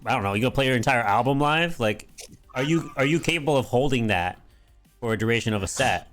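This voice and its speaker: A man co-hosting a podcast, asking halting questions and cracking jokes in casual chat. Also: medium pitch, expressive, fairly bright tone, soft background music.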